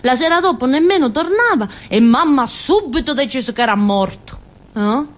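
An actress playing a naive, childlike village simpleton girl with a Calabrian accent, in a halting, repetitive theatre monologue. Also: high voice, animated. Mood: earnest, simple. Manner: simple, repetitive, halting, with questioning tags and giggles. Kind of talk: theatrical monologue in colloquial dialect-tinged Italian.

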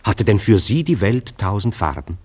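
Sceptical worldly friend, low pitch, ironic, eloquent, measured radio play acting. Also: male voice, very animated, a little mellow, slightly rough. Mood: firm, persuasive. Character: sceptical worldly male friend, gently mocking realist. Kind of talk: German radio play acting, literary dialogue.

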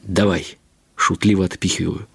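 An older man giving a reflective, expressive and unhurried dramatic reading of literary prose for radio. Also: deep voice, creaky, slightly rough, no echo. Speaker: narrator. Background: music.

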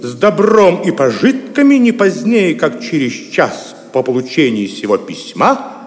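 Scornful medieval knight, flamboyant and sneering, slow swooping lines, long hall reverb, audio drama. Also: male voice, on the high side, sing-song. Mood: mocking. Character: arrogant mocking Templar knight, flamboyant and scornful.

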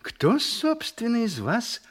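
Peace-loving old-town judge, very animated intonation, drawn-out pondering, theatrical storytelling, music behind. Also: male voice, extremely high pitch, breathy edge, full character voice. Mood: musing, placid. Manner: musing, conciliatory, drawn-out pondering. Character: placid, muddled, peace-loving town judge of twenty years. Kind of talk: theatrical storytelling in audio drama.

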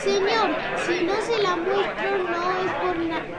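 An indignant lady shopper acted by a woman with a very high voice. She is exasperated and stubborn, protesting as in an amateur radio play. People chatter in the background. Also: steady tone, takes it slowly.

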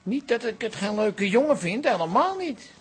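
A nosy older auntie in a radio comedy drama. Her voice is extremely husky and rough, swinging up and down as she bluntly meddles. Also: a little high, sing-song, normal speed, full character voice. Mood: meddling, earnest. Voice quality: very husky, raspy.

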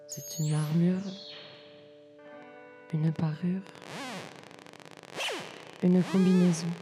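A young woman reading poetry as spoken word in measured, short separated phrases. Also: lowish voice, little pitch movement, over music. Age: young adult. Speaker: student writer reading collective texts.

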